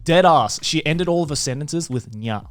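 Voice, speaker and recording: A young man co-hosting a casual podcast, an animated storyteller who swears freely. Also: a little high, moderate pace, no echo. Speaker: podcast co-host.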